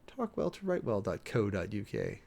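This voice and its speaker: A man's voice: on the low side, huge pitch swings, normal speed, vocal fry, a little mellow. A man giving a dramatic, emphatic reading of an official document, breaking off often for sardonic asides and commentary as host. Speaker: host, preacher.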